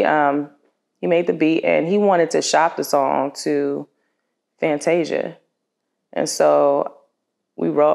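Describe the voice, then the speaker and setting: A woman with a low voice and a gravelly, creaky edge tells the story behind her work as a singer-songwriter. Her delivery is casual and conversational, like an informal interview, and she voices other people's remarks as she goes. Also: darkish tone.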